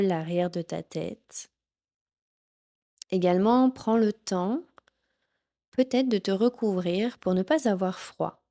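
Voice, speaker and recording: A woman teaching yoga nidra, leading a guided relaxation in a gentle, soothing, instructional manner. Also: medium pitch. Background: background music.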